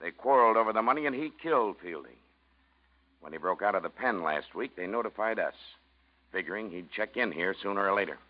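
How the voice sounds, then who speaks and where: An actor playing a stern police chief in a 1940s radio drama, firm, plain-spoken and emphatic. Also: male voice, fairly low pitch, animated, a little fast, close to an everyday voice. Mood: grave, explanatory. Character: stern, incredulous small-town police chief. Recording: muffled vintage radio recording.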